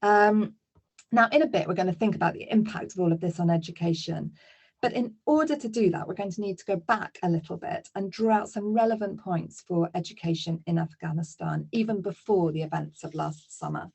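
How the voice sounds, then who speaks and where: A woman presents a webinar lesson in a lowish, mellow voice with a gravelly, husky grain. She explains steadily, with the odd filler. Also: fairly quick.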